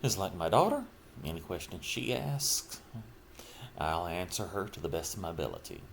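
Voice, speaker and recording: A middle-aged man talking casually and reflectively about himself, in halting, broken phrases with trailing pauses. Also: deep voice, wildly swooping pitch, gravelly, a little hiss or hum. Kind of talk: casual personal monologue, rambling reflection.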